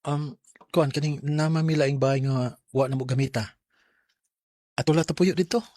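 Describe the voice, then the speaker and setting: A man's voice: fairly high pitch, fairly even intonation, moderate pace, mellow, no echo, lightly acted. An actor playing a young working man, a devoted but suspicious live-in boyfriend, with a Cebuano Filipino accent in a radio drama. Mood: earnest, pleased. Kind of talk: Bisaya radio drama acting.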